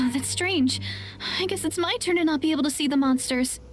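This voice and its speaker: A woman's voice: sits high, normal speed, natural voice. An actress playing an earnest, polite young woman traveler in a video game. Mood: earnest, puzzled. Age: young adult.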